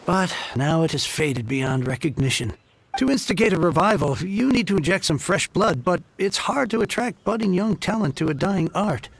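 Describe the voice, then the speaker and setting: High-voiced earnest gentleman heir. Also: lively intonation, normal speed, gravelly, slightly rough, no room sound, full character voice. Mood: surprised, disdainful. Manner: earnest, formal, wordy. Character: effusive male heir of a fallen merchant family. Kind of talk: video game voice acting.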